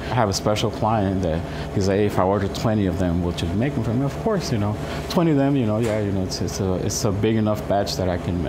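A middle-aged man, a bakery chef, talking casually in an interview in a rambling way, often saying "you know". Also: fairly low pitch. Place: small bakery shop indoors by glass storefront. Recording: clear ambient shop and traffic noise.

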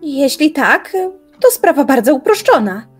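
An actress playing a determined, defiant young woman in an audio drama, arguing in measured, formal language. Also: high-pitched, big pitch swings, faint music behind. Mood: guarded. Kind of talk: audio drama acting, literary dialogue.

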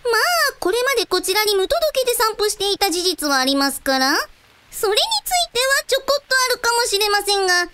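Woman acting very high-voiced, courteous female scientist. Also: sing-song, brisk, background noise. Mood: matter-of-fact. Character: composed, courteous, renowned female water scientist. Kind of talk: radio drama acting.

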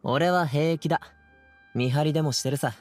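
A man playing a confident, easygoing young escort courier in a video game, with casual, brisk speech. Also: on the high side, darkish tone, faint music behind, lightly acted. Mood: subdued. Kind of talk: Japanese video game voice acting.

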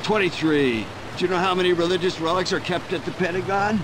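An actor voicing a paranoid, stoned aging hippie in a video game, rambling in a spaced-out stoner drawl full of hippie slang. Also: male voice, sits high, quick pace, natural voice. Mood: conspiratorial. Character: paranoid, stoned aging hippie man, laid-back conspiracy theorist. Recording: loud vehicle noise behind the voice. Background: vehicle engine and road noise.

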